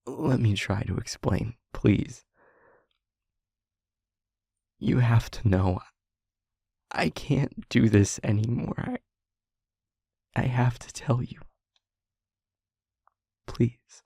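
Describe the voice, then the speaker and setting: A man playing an anguished demon in an intimate audio roleplay, speaking haltingly and hushed, pleading with someone he loves. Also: mid-range voice, no echo, studio quality, full character voice. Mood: desperate pleading. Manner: halting, hushed, breathy near-whisper, pleading. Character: anguished male demon confessing to a loved one.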